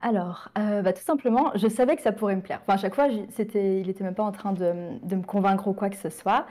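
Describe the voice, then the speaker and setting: A French woman in her late twenties, a guest chatting casually and filling pauses with "euh". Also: medium pitch, mellow. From France. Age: 20s. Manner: chatty, with frequent fillers. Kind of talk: casual interview chat.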